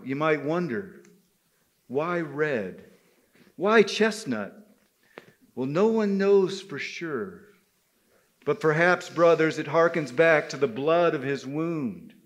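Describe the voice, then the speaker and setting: A man telling a folktale live, emphatic and speaking directly to his listeners. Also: on the high side. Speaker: storyteller at a men's gathering.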